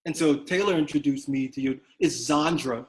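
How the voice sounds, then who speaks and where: A man's voice: on the high side, normal speed, darkish tone. A middle-aged man speaking as an interview guest, a father reflecting earnestly with many restarts and fillers.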